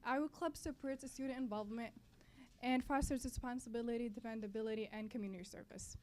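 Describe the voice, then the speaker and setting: A woman's voice: fairly high pitch, steady tone, brisk, darkish tone. A young woman from Palestine, a native Arabic speaker, giving a welcome speech to an audience as a student club member, often repeating and correcting her phrases. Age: young adult. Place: college library event.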